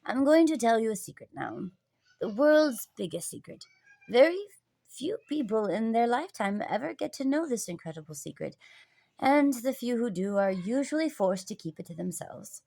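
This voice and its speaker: A woman voicing a jovial grandfather in a children's storybook, earnestly sharing secrets with his grandson in a deliberate, explanatory way. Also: on the high side, very animated, creaky, warm tone, pitched above the performer's own voice, heavily acted. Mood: earnest, grave.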